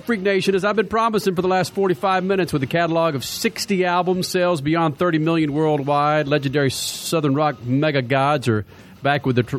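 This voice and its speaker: A man's voice: on the high side, no echo. A man hosting a radio show, talking in a brash, joking way and swearing freely. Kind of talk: loud casual radio chat.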